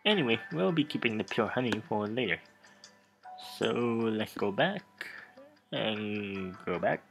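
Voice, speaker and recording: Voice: mid-range voice, expressive, unhurried, gravelly, faint music behind. A let's play host commenting on a game and reading its text aloud in a theatrical, stumbling way.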